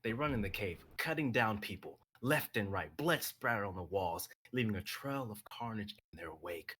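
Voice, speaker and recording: A young man with a lowish, husky voice and a forceful, bright edge. He reads his own story aloud dramatically on a live stream and chats casually about it. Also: expressive, normal speed. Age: young adult. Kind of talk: dramatic reading of a script, then casual chat.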